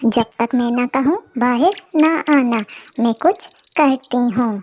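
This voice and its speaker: Woman voicing a high-pitched bold cartoon crow. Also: slow pace, darkish tone, a little hiss or hum. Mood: urgent warning. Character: female crow, bold, protective, grateful neighbour. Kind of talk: moral cartoon character voice.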